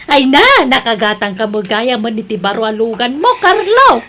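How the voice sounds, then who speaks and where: A woman's voice: fairly high pitch, expressive, normal speed, fairly bright tone, faint music behind. A woman with an Ilocano Filipino accent playing a concerned, disapproving wife in an audio drama, speaking in a plaintive, reproachful way.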